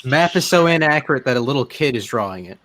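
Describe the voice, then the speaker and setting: A man speaks in an ordinary mid-range voice. His delivery is wry and deadpan. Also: mid-range voice, quick pace, dry recording. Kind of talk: casual group chat.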